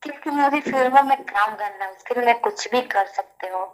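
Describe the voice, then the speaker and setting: A woman's voice: on the high side, a little fast. A woman from Delhi talking on a phone call, insistent and repetitive, answering in short plain sentences. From Delhi, India. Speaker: caller seeking film work. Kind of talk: phone conversation.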